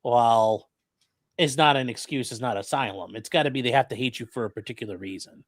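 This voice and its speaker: A man's voice: mid-range voice, a little fast, no echo, clean studio sound. A man hosting a live stream, reading out viewer questions and giving casual legal commentary with wry, joking asides.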